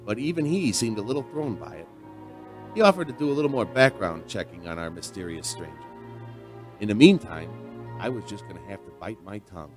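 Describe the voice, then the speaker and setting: A man playing a folksy, animated bartender storyteller. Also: fairly low pitch, a little fast, soft background music, lightly acted. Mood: reflective storytelling. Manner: conversational, storytelling. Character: folksy, chatty male bartender telling his strange tale. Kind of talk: audio drama narration and dialogue.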